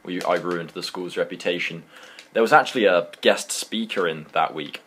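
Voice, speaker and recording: A young British man telling a personal story in a casual, rambling, animated and slangy way. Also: sits low, normal speed, breathy edge. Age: young adult.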